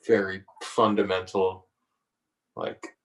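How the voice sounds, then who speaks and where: Laid-back low-voiced man on a video call. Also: level tone, slow pace, a bit bright, roomy sound, distant mic. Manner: hesitant, laid-back, laughs often. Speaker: singer-songwriter guest. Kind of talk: relaxed conversational interview.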